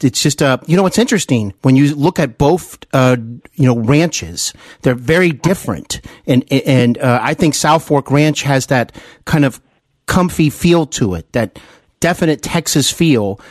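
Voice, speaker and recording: A man hosting a podcast interview, asking meandering, slightly halting questions in a conversational style. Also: medium pitch, no room sound, studio quality.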